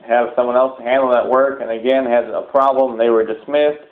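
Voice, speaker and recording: Male organization leader, mid-range voice, formal and deliberate announcement delivery, thin telephone-grade recording. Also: medium pitch. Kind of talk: public address, semi-scripted announcement. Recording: narrow, phone-like sound.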